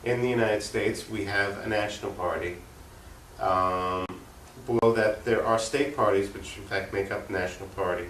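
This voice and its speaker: Low-pitched man in a roomy, distant recording. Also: steady tone, moderate pace, a bit bright. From Long Island, New York, US. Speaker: interview guest, political activist. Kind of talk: conversational interview answers. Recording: roomy, distant mic, faint noise.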